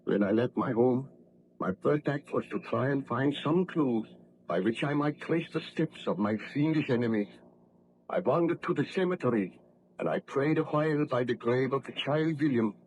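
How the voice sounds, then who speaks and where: An actor playing a desperate, guilt-ridden baron in an old radio drama, with impassioned, formal, theatrical diction. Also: male voice, medium pitch, moderate pace, a little mellow, close to an everyday voice. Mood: haunted recounting. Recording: noisy vintage radio drama recording.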